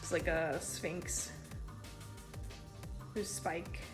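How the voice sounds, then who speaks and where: A young woman with a congested, stuffy voice, casually touring her sketchbook in a tired, low-key way and swearing now and then. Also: fairly even intonation, extremely slow, over music. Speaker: artist showing a sketchbook.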